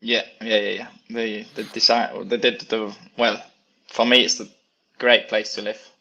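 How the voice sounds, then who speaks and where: Spanish-raised man, Valencian first language, flat delivery, muffled lo-fi call sound. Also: on the low side, unhurried. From Spain. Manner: hesitant, searches for English words. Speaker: guest. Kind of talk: casual interview over a call. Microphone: phone on a video call. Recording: dull lo-fi call audio.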